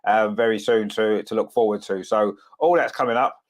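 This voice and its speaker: A man with a cold, sounding croaky as he hosts a casual football chat show, full of "of course" and tag questions. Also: lowish voice, steady tone, moderate pace. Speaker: podcast host.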